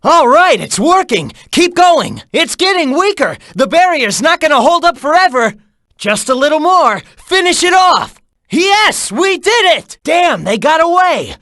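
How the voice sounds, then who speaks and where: An actor voicing a cocky, upbeat teenage hedgehog hero in a video game, cheeky and encouraging. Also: male voice, very high voice, measured pace, dry recording, clean studio sound, exaggerated voice. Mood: excited. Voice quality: youthful, bright, energetic. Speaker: video game character voice clips. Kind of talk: game voice acting, mission hints. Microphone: dry studio mic.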